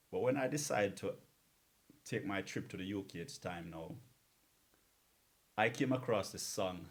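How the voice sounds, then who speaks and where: A man talking casually between songs as a radio DJ, earnest and repeating words for emphasis. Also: deep voice.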